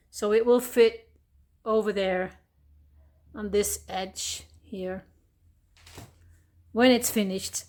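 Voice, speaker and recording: Dutch lady talking thru her craft build real slow, lots of ums, keeps correcting herself, mid pitch. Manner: hesitant, many pauses and fillers, self-correcting. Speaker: hobby crafter showing a miniature build. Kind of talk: casual show-and-tell explanation.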